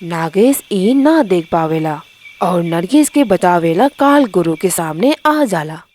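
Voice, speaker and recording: Lively woman narrating a fairy tale. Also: fairly low pitch, takes it slowly, slight background noise.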